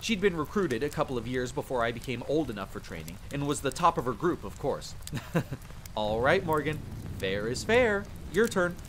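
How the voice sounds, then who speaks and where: A man's voice: mid-range voice, normal speed. A man playing a young, brash but good-natured vampire hunter and former street thief, telling his story informally and theatrically in an audio roleplay. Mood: playful, teasing. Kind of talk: dramatic campfire storytelling roleplay. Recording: steady rain and fire ambience noise. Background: rain, rolling thunder, campfire ambience.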